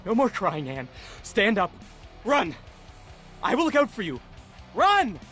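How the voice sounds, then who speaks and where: A shaken, protective young man in a horror game. He speaks urgently and dramatically, with wide swings in pitch. Faint music runs underneath. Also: wildly swooping pitch, unhurried, a bit bright, heavily acted. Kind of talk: video game voice acting.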